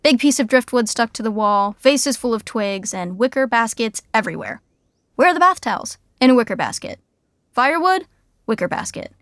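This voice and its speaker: A woman narrates a comedy in a dry, deadpan way. Her voice sits a little high. The sound is close and dead, with no room around it. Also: moderate pace, no echo.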